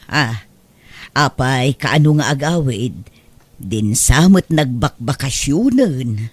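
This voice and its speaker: A woman's voice: very deep voice, animated, slow pace, breathy edge, no room sound, exaggerated voice. A meddling Ilocano aunt played by an actress in a radio drama. Her intonation swings widely, close and dry, as she pries into family business. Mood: prying.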